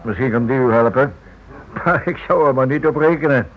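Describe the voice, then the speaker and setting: An actor playing a formal, brusque lawyer in a Dutch radio play, speaking with clipped, dismissive courtesy. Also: male voice, mid-range voice, brisk, close to an everyday voice. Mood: dismissive, polite. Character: brusque, formal male lawyer refusing to help. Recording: muffled old radio play recording.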